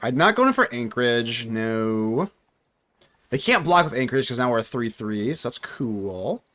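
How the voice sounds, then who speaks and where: A young man at a middle pitch narrates his own card game live, his voice jumping up and down with excitement. He jokes, mutters to himself and answers his chat in a loose, chatty way. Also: a little slow. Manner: animated, talks to himself and chat, jokey. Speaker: gaming streamer. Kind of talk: live gameplay commentary.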